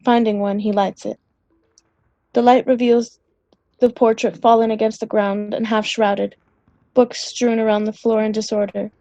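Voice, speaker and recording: A woman's voice: fairly high pitch, little pitch movement, moderate pace, dark tone, no echo, dull audio. A woman reading scripted stage directions for a play as a narrator.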